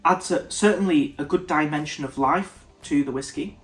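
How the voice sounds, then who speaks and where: A young man reviews whisky in a relaxed, thinking-aloud way, breaking off and restarting his sentences. His voice sits a little high for a man and sounds nasal and blocked up. Soft music plays underneath. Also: moderate pace. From United Kingdom. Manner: thoughtful, stops and restarts mid-sentence. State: nasal, congested. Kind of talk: casual tasting review to camera.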